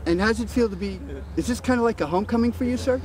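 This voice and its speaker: A man's voice: very high voice, very fast. A man interviewing a soldier outdoors, asking questions in a polite, deferential way. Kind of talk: field interview. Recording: crowd chatter in background.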